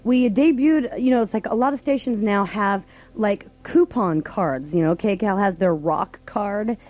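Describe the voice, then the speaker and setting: A woman's voice: medium pitch, a little slow, darkish tone. An American woman hosting a radio show, chatting casually on air. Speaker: radio morning show host. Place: radio studio.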